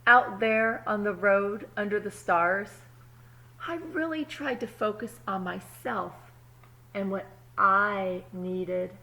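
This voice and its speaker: Slow-paced woman reading a storybook to children. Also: mid-range voice, vocal fry, rough recording. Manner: expressive, explains words simply and checks in with young listeners. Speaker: teacher reading aloud to children. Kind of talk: children's storybook read-aloud with asides.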